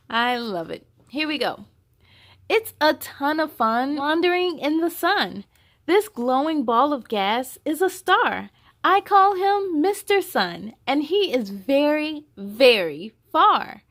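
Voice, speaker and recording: A woman's voice: high-pitched, sing-song, takes it slowly, fairly bright tone. A woman hosting a children's story time, reading aloud expressively with a sing-song delivery and chatty asides to young listeners.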